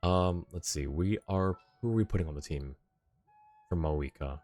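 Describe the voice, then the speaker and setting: A man's voice: sits low, moderate pace, dark tone, dry recording. A man streaming a game live, chatting casually and laughing often with muttered asides. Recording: game music faint behind.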